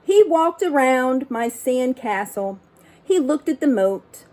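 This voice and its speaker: A woman reading a picture book aloud like a teacher, expressive and instructive, speaking to young listeners. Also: high voice, takes it slowly, low-quality recording. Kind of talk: storybook read-aloud with brief asides.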